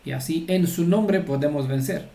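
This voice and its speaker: A man's voice: on the high side, moderate pace, creaky, mellow, reverberant. A man giving an informal, earnest religious teaching and often checking that his listeners follow. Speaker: Torah teacher.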